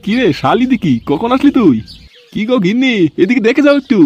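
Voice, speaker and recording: A grumbling older village man, acted by a male performer, speaks high and quickly. He is bossy, crude and teasing in comic cartoon style, on a dull lo-fi track with music behind. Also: sing-song. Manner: bossy, complaining, calling out.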